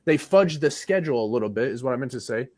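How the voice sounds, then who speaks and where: A man's voice: mid-range voice, expressive, fast, darkish tone. A man arguing in a live debate as a panelist, blunt and insistent, with frequent swearing.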